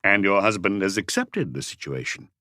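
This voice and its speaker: A man voicing a calm police chief inspector, asking probing questions in a measured, unhurried way in an audiobook. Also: sits low, dry recording, studio quality, heavily acted.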